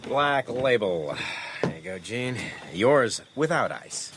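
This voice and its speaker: An actor playing a chummy, gallant American intelligence officer in a radio drama, genial and worldly with an American accent. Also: male voice, mid-range voice, lively intonation, slow. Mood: jovial, flirtatious. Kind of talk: British radio drama acting. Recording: noisy radio drama background.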